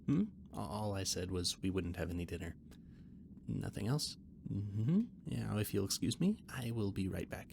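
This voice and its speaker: Casting: male actor as nervous, nerdy catboy ship's cook, low creaky voice, evasive rambler, for intimate roleplay audio. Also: normal speed. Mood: flustered, evasive. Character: flustered, rambling catboy ship's cook and mechanic, eager friend. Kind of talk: intimate one-sided roleplay audio drama. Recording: noticeable background noise and ambience.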